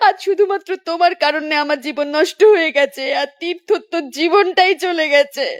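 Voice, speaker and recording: A woman playing a wronged, long-suffering young woman in an animated melodrama, speaking in a plaintive, earnest way. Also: very high voice, fairly quick. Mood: tearful, accusing.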